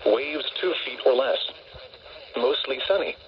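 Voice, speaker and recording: A man announcing on weather radio, reading a scripted forecast in a stilted, measured, impersonal way through a small receiver speaker. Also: mid-range voice. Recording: dull, very noisy weather radio broadcast.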